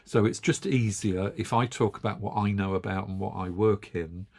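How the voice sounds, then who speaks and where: Gravelly English man chatting wryly. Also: fairly low pitch, steady tone, normal speed, a little mellow. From England. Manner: chatty, wry, chuckles often. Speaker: knitting channel host. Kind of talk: casual chat to camera.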